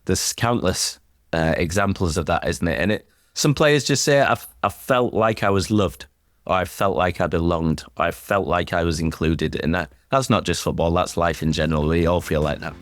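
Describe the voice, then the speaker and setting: A man's voice: low-pitched, normal speed, no echo, clean studio sound. A man speaking as an interview guest, a sports chaplain, in a conversational style.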